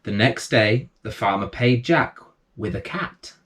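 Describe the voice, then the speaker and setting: A man hosting and reading children's bedtime stories in a gentle, reassuring manner. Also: lowish voice, lively intonation, unhurried. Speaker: bedtime story reader and host. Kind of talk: storytelling read aloud for children.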